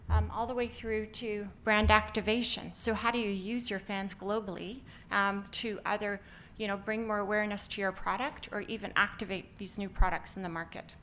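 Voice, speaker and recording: A woman's voice: medium pitch, steady tone, normal speed, hardly any pauses, a bit bright, slight background noise. A middle-aged woman from Canada with a Canadian accent, giving an upbeat conference talk with many filler ums. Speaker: company founder presenting. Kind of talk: conference presentation.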